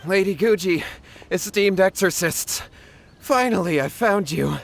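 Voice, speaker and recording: Earnest, high-voiced young villager. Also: male voice, lively intonation, measured pace, gravelly, exaggerated voice. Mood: urgent. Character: earnest young village man, anxious and respectful. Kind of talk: anime-style video game voice acting.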